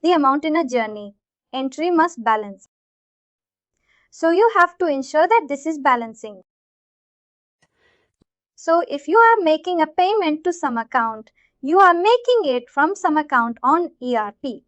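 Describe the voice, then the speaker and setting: A woman with an Indian accent and a high voice works through an informal software lesson. She repeats her points and checks that learners keep up. The sound is dry and slightly dull, like lo-fi online class audio. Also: lively intonation, measured pace, pauses often, no room sound. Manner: repetitive, checks that listeners follow. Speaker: software instructor. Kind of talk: informal live tutorial explanation.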